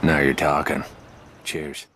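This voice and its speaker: An actor playing a rough, irreverent Irish saboteur in a video game, blunt and sardonic. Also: male voice, extremely low pitch, little pitch movement, slow pace, sharp tone, slight background noise, heavily acted. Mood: cocky, sardonic. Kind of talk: video game cutscene acting.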